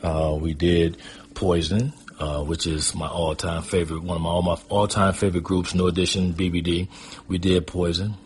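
Very deep-voiced American man reminiscing over noise. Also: flat delivery, normal speed. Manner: rambling, conversational. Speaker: guest storyteller. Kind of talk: casual autobiographical storytelling.

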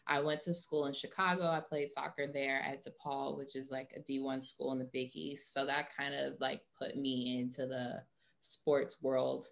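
Young woman, interview guest, quite low register, loose conversational delivery full of "like". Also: level tone, moderate pace, creaky. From Atlanta, US. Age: young adult. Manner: informal, heavy use of "like". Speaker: interview guest, sports marketing professional.

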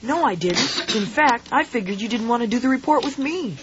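A woman's voice: on the high side, animated, brisk, noisy background, lightly acted. A woman voicing an awkward, self-conscious schoolboy who stammers, in a radio drama. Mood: earnest. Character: nervous, awkward popular schoolboy. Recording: slightly dull radio drama recording.

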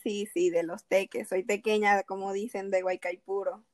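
A young Venezuelan woman in her twenties whose accent has softened toward Puerto Rican Spanish, chatting casually as a guest with lots of fillers and laughs. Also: medium pitch, flat delivery, moderate pace, no room sound. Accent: Puerto Rican-influenced Venezuelan Spanish. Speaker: athlete guest. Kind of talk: casual interview over a call.

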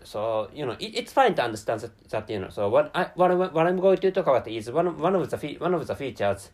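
A man from Japan with a Japanese accent, a native Japanese speaker, giving an unscripted podcast monologue in a very halting, rambling way with stammered repeats of words and syllables. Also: on the high side, big pitch swings, a little mellow. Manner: rambling and digressive. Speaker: podcast host.

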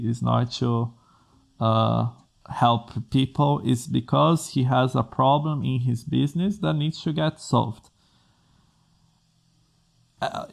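A man from Brazil speaks English as a second language, earnestly talking programmers through career advice. His voice is deeply gravelly and full of fry. Soft music plays quietly underneath. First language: Portuguese. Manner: earnest, explains in plain steps with restarts. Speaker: coding school host. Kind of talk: advice vlog to camera.

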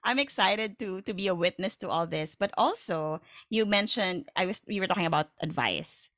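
A woman's voice: mid-range voice, normal speed, a bit bright, dry recording, clean studio sound. A woman with a Filipino accent hosting a podcast in a casual, conversational way, encouraging and affirming as she talks. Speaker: podcast host.

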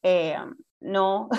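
A woman's voice: medium pitch, warm tone, dry recording. A woman from Argentina with an Argentine accent, a guest in a casual interview, talking hesitantly with many fillers and restarts. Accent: Argentine Spanish. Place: abroad, remote call.

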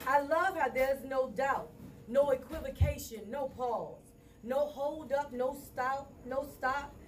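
Woman, spoken word poet, high-pitched, rhythmic rhyming recitation, distant live recording. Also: slow pace, slight background noise.

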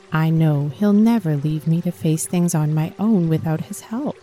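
A woman narrating a scripted guided sleep meditation for children in a gentle, calming, soothing manner. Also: low voice.

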